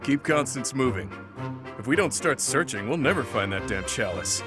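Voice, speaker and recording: A man's voice: medium pitch, expressive, moderate pace, over music, lightly acted. A man playing a cocky, blunt young brawler in a video game, talking in casual slang. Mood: impatient. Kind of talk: English video game voice acting.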